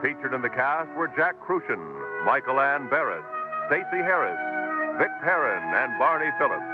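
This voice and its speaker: A man's voice: mid-range voice, expressive, moderate pace. A man announcing credits in a formal 1950s radio style. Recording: muffled old radio over loud orchestral music.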